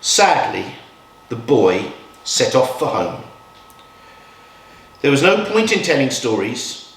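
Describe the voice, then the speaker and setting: A man reads a children's picture book aloud. His delivery is slow and very animated, with expressive swings and dramatic pauses. The recording is echoey, as in a live room. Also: medium pitch. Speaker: children's storytime reader. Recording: roomy echo, distant from mic.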